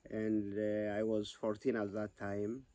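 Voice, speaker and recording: A man's voice: fairly low pitch, monotone, measured pace, dark tone. A man from Ethiopia, a ministry director, giving an earnest interview testimony.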